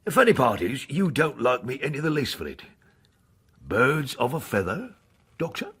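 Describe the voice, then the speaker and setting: An actor playing an earthy, leering old sea captain in a radio drama, talking in a colloquial, teasing, insinuating way. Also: male voice, medium pitch, big pitch swings, normal speed, fairly bright tone, slightly rough, heavily acted. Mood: sly, teasing.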